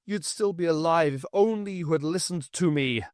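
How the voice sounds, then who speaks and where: A man's voice: fairly high pitch, moderate pace, a little mellow, no echo, studio quality, pitched above the performer's own voice, lightly acted. A man voicing a guilt-ridden, grieving country doctor, pleading with his dead wife. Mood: pleading anguished. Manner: pleading, desperate.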